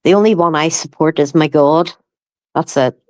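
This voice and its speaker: A woman's voice: sits low, normal speed, a little mellow, no echo. Northern Irish woman, close dry sound. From County Down, Northern Ireland. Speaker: livestream guest. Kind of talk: casual chat.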